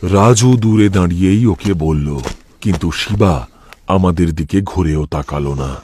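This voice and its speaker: A man's voice: low voice, a little slow, darkish tone, a little hiss or hum. A man narrating a scripted first-person horror story for a cartoon. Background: music.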